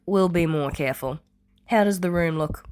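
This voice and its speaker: Woman playing a calm, deadpan man, lowish voice. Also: moderate pace, clear, resonant tone, natural voice. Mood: firm. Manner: deadpan, firm, gentle. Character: calm, deadpan, gently caring adult man.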